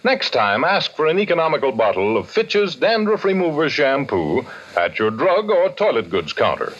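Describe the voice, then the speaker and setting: A man's voice: on the high side, very animated, normal speed, a bit bright. An American man announcing in 1940s radio commercial style, with a polished, emphatic sales delivery. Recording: muffled 1940s radio recording.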